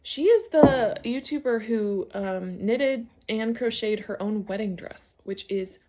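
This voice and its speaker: A woman's voice: fairly high pitch, takes it slowly, heavy background noise. A woman casually vlogging about knitting at home, rambling and hunting for words, a little unfocused after a concussion. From United States. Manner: rambling, searching for words, many fillers and restarts. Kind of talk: casual solo vlog chat.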